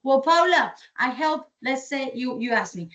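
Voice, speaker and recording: A woman's voice: sits high, normal speed, dull audio. Colombian-accented woman leading sales training. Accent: Colombian Spanish. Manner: energetic, repeats key points, asks and answers own questions. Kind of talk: training presentation.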